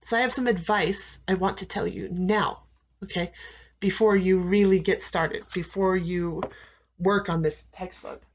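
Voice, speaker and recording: An American woman teaching English, giving students slow, deliberate advice and repeating key phrases with frequent "okay?" checks. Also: lowish voice, steady tone. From United States. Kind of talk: classroom advice talk to students.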